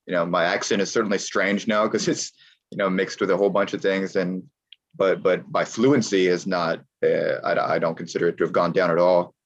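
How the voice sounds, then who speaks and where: An American man with a very deep voice talks as an interview guest. He speaks emphatically and circles back to repeat his points. The sound is muffled and lo-fi. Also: little pitch movement, a little fast. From United States. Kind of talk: casual interview chat.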